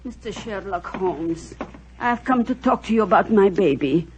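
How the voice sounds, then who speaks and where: A woman's voice: medium pitch, expressive, normal speed, noisy background, close to an everyday voice. An actress in an old radio drama playing an anxious older woman who comes pleading for help. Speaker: visitor.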